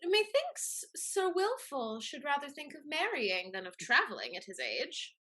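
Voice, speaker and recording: A woman's voice: high-pitched, wildly swooping pitch, a little slow, bright, forceful tone, full character voice. A woman playing a scheming, catty society lady in a Restoration comedy, with an arch, pointed, mannered delivery. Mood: politely sly. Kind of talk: theatrical Restoration comedy acting.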